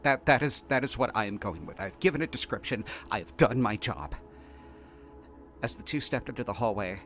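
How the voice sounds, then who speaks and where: A man playing a shaken, exasperated researcher, haltingly dictating an incident report in an audio drama. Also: fairly low pitch, fairly bright tone. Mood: flustered, incredulous. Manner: halting, flustered, repeating words. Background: faint music.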